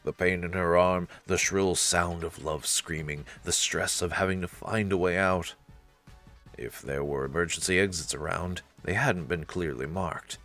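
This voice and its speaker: A man's voice: low-pitched, creaky, fairly bright tone. A man narrating a visual novel as a dramatic story, reading aloud for a let's play.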